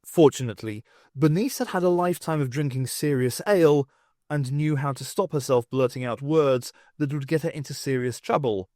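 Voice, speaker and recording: A man reading an audiobook aloud, narrating with an expressive, lively delivery. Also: medium pitch, moderate pace, no echo, clean studio sound. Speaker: audiobook reader. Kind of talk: storybook narration.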